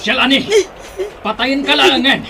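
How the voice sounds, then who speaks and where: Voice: high voice, fairly even intonation, measured pace, creaky, heavy background noise. An actor with a Filipino Ilocano accent playing a menacing, taunting pursuer in a radio drama. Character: menacing pursuer, taunting and threatening those who flee.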